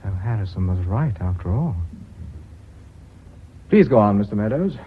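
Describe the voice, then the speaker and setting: An actor playing an authoritative, sardonic company director in a British radio drama, clipped and impatient, with an English accent. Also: male voice, fairly low pitch, expressive, normal speed, natural voice. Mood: dry composure. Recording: muffled, faintly noisy old radio broadcast.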